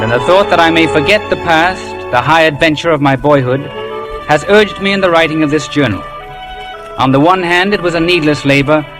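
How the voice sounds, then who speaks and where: An actor playing an earnest young man who narrates an old radio drama in a formal, literary, measured way. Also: fairly high pitch, natural voice, low-quality recording. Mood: wistful. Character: earnest young man recalling boyhood adventure. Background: music.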